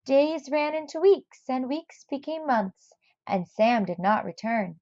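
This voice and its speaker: A woman reading a children's story aloud in an expressive narrating style. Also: sits high, a little slow, no echo, muffled recording. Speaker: storybook reader.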